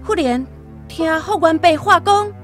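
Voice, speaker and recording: A woman's voice: very high-pitched, measured pace. A woman with a Taiwanese accent narrating a scripted literary radio story expressively. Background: soft plucked-string music.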